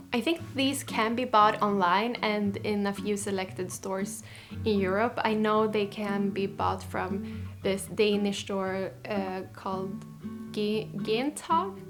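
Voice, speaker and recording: A woman's voice: mid-range voice, slow pace, soft background music. Chatty woman vlogging. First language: Swedish. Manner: enthusiastic, chatty, with frequent restarts. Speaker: fashion vlogger. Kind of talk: casual chat to camera.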